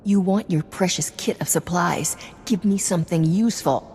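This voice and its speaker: Game lead female actor: hard smuggler captain, very low-pitched, curt, threatening, reverberant. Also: moderate pace, darkish tone, a little hiss or hum, natural voice. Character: ruthless, surly female smuggler starship captain. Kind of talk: video game character dialogue. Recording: reverberant hall sound under music.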